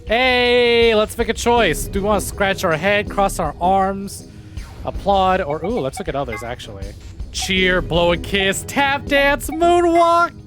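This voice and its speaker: A man's voice: high voice, animated, measured pace, music in the background. A man live-streaming a visual novel, reading lines aloud theatrically and adding playful, joking commentary. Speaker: game streamer.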